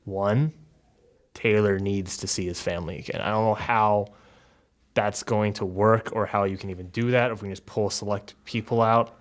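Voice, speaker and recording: A man playing a guarded, blunt male negotiator in a tabletop game, deliberate and terse. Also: lowish voice, steady tone, natural voice. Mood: grave, demanding. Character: guarded, blunt man bargaining for his companions.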